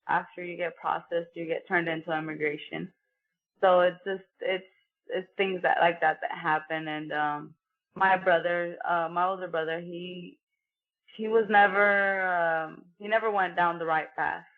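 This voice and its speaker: Woman on a tinny phone-like line. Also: on the low side. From Mexico. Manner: earnest, many fillers like "you know". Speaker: guest, professional boxer. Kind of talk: casual interview conversation.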